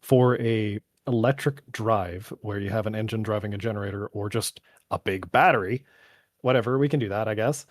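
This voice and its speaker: Low-voiced, tiring game developer. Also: male voice, moderate pace, no echo, studio quality. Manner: rambling, technical, thinking aloud. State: voice getting tired after three hours. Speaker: game developer answering community questions. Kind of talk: casual live developer Q&A.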